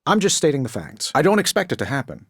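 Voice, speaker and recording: An actor with an American accent playing a cocky, wisecracking armored superhero inventor in a video game, with glib, sarcastic asides. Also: male voice, fairly high pitch, huge pitch swings, fast talker, fairly bright tone, breathy edge, no echo, clean studio sound, heavily acted. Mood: flippant. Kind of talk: video game character voice lines.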